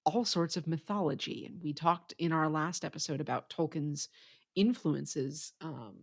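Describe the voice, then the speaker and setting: Podcast co-host, measured explainer, slow pace, creaky voice, casual literary talk. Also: medium pitch.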